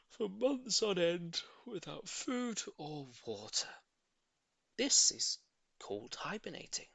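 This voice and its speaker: Animated man reading a children's story. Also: a little high, no echo. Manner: animated, dramatic emphasis on key words. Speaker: audiobook reader. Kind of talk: expressive children's story narration.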